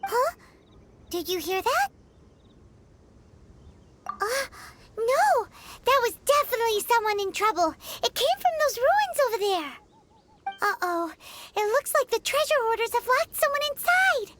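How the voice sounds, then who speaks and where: Very squeaky little fairy girl. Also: big pitch swings, measured pace, pauses often, a little hiss or hum, full character voice. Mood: curious, alarmed. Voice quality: squeaky, childlike. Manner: speaks of self in third person, exclamatory. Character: small childlike fairy companion girl, eager, greedy, excitable. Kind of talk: video game voice acting. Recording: loud game music behind.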